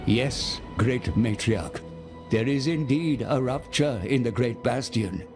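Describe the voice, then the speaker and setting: An actor playing a cunning old sage and guide in a video game, grave, grandiose and deferential, narrating theatrically. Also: male voice, medium pitch, slow, music in the background, natural voice. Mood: portentous. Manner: grave, portentous. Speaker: narrator and adviser character.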